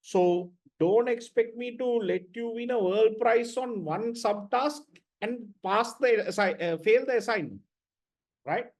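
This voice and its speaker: A man's voice: sits high, unhurried, darkish tone. A man lecturing students in an online class, blunt and repetitive, often checking with "right?".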